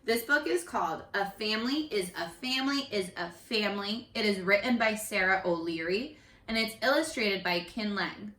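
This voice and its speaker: Bright-voiced woman teaching young children. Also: medium pitch. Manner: animated, encouraging, asks questions and pauses for answers. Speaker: primary school teacher. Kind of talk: interactive read-aloud lesson for children. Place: living room at home. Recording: roomy home echo.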